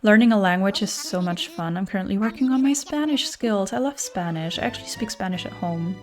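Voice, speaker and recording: A woman's voice: mid-range voice, animated, fairly quick, a little mellow, soft background music. Young woman streamer with a German accent. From German-speaking. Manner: chatty, thinks aloud with fillers. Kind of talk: casual chat reading viewer messages.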